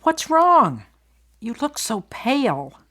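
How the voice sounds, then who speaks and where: A woman playing a concerned, blunt best friend in soap-opera dialogue for English learners, speaking deliberately and clearly. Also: fairly high pitch, wildly swooping pitch, sharp tone, exaggerated voice.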